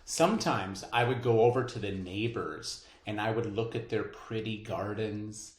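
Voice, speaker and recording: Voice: medium pitch, normal speed, roomy sound. A person reading a children's picture book aloud expressively, adding chatty asides as a co-reader. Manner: expressive, emphatic storybook delivery with chatty asides.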